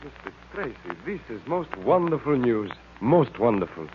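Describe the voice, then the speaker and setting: A man playing a humble, solemn foreign gentleman in old radio drama style, speaking formally and haltingly. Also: medium pitch, sing-song, natural voice. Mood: humbly grateful. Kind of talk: 1940s radio acting. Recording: tinny, noisy old radio recording.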